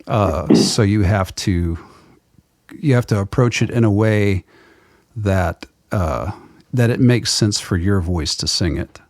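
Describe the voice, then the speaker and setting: A middle-aged man with a low voice, a musician guest talking in a loose, rambling way, laughing now and then. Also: slow pace, darkish tone, no room sound, clean studio sound. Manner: rambling, digressive storyteller with frequent laughs. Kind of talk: casual conversation.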